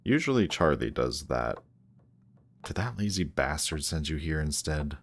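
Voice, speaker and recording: A man's voice: very deep voice, a little mellow, a little hiss or hum, heavily acted. A man playing a guarded supervillain in a roleplay audio drama, sardonic and awkward whenever he shows that he cares. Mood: casual, scolding. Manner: sardonic, hesitant when showing care. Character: gruff, guarded male supervillain, secretly caring, sardonic.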